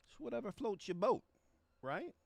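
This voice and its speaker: A man hosting a music reaction stream, chatting casually in a halting, conversational way and often tagging phrases with a check for agreement. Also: high voice, very animated, creaky, a little mellow, dry recording. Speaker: music reaction show host. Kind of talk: casual livestream chat with viewers about music.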